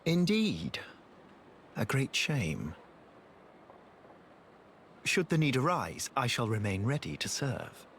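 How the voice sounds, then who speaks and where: An actor playing an unctuous, scheming soldier in a video game, speaking in a flowery, obsequious, archaic formal way. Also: male voice, medium pitch, very animated, slow pace, long pauses, a bit bright, slight background noise, heavily acted. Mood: eager, servile. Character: fawning male soldier, unctuous and scheming courtier.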